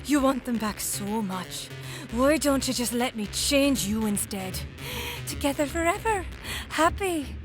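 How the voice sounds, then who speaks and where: A woman's voice: sits high, lively intonation, unhurried, over music, low-quality recording. A woman playing a cruel, gloating immortal enchantress in an audio drama, taunting and theatrical, savoring her words. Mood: manic taunting. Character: gloating, cruel immortal enchantress who transforms people into swans.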